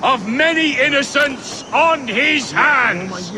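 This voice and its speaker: An actor playing a stern, declamatory official at a hanging in a video game cutscene. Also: male voice, very high voice, animated, slow pace, noisy background, full character voice. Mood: condemning.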